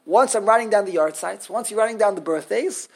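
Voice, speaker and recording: A woman teaching a Torah class to a small group, lively and animated, switching often into Hebrew terms. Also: mid-range voice, fairly quick, husky. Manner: animated, acts out quotes, mixes in Hebrew terms.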